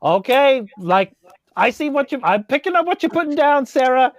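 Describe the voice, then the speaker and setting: Woman doing a breezy, high-pitched little fantasy adventurer. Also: lively intonation, normal speed, no room sound, highs cut off. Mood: breezy, impressed. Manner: slangy, nonchalant.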